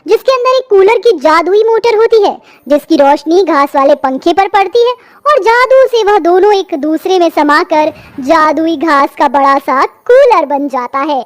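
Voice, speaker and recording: A woman's voice: very high voice, lively intonation, moderate pace, hardly any pauses. A woman reading scripted narration for a children's story.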